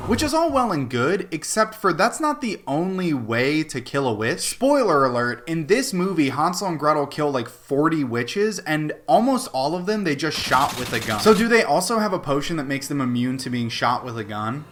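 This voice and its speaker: A man's voice: on the high side, very animated, moderate pace, few pauses, fairly bright tone, a little hiss or hum. A young man hosting a comedic film recap, talking in a sarcastic, wry way. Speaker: video host reviewing a film.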